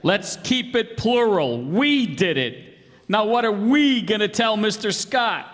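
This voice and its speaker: A man's voice: high-pitched, lively intonation, takes it slowly. A man playing a cocky, bossy, bumbling schemer with a brash, exasperated manner in an old-time radio comedy. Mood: dismayed. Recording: live hall stage, long reverb.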